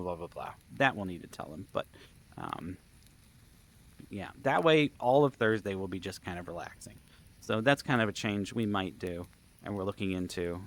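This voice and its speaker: A man's voice: on the low side, a bit bright. A man telling stories in a casual, rambling vlog style, with a jokey, self-deprecating manner. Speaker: vlogger and camp event organizer.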